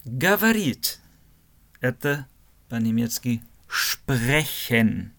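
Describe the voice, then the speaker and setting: A man from Germany, teaching a language lesson slowly, spelling words out letter by letter and repeating phrases. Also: mid-range voice, very animated, gravelly, fairly bright tone.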